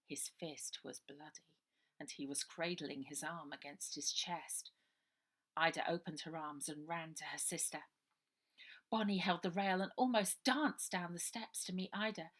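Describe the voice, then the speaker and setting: A woman's voice: lowish voice, a bit bright. A woman reading her children's novel aloud as a dramatic storybook narration.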